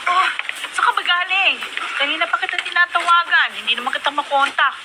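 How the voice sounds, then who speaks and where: A woman's voice: very high-pitched, fairly quick. A woman playing a caring, concerned older sister in a radio drama, fussing and reassuring. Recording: noisy radio drama broadcast.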